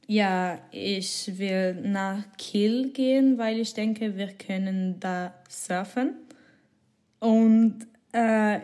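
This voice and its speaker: Slow, halting young woman from Switzerland. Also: medium pitch, mellow. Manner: halting learner's German, hesitant, giggly. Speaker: guest, former exchange student. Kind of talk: casual interview answers.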